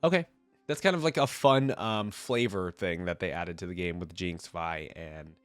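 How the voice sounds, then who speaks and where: A man with a fairly low voice, stuffed up from a cold, hosts a casual game reaction. He thinks aloud in loose, slangy language. The sound is very dry, with hardly any room on it. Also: moderate pace, fairly bright tone, clear, resonant tone, dry recording, soft background music. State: congested from a cold. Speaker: video game reaction host. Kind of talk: casual off-the-cuff reaction commentary.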